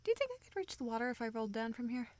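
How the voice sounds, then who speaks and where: A woman playing a playful, teasing young woman in an intimate roleplay audio, talking to the listener as a close, affectionate friend in halting, natural phrases. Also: on the high side, sing-song.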